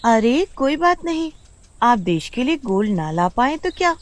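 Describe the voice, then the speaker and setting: Woman voicing a high, tender village wife. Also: big pitch swings, measured pace, dry recording. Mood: tender, reassuring. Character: gentle, devoted young village wife and mother. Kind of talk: melodramatic storybook acting.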